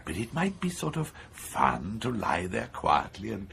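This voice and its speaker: An actor playing an eager, persuasive neurosurgeon with a macabre obsession in a radio horror drama, speaking insistently and clinically with a British accent. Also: male voice, fairly low pitch, huge pitch swings, measured pace, gravelly, a bit bright, full character voice. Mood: brisk, coaxing. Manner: insistent, enthusiastic, coolly clinical lecturing. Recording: faint background noise.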